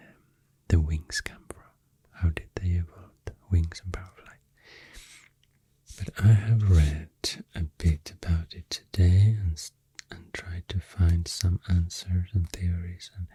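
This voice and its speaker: A man with a Swedish accent doing soft-spoken, often whispery ASMR, explaining things in an unhurried, hesitant ramble close to the microphone. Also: a bass voice, slightly rough, dry recording. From Sweden. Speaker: ASMR creator. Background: pencil writing and clicking sounds.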